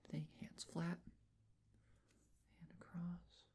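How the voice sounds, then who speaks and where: A man doing an ASMR personal-attention roleplay, whispering breathily as he gently gives reassuring, step-by-step instructions. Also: sits high, completely flat delivery, takes it slowly, breathy edge.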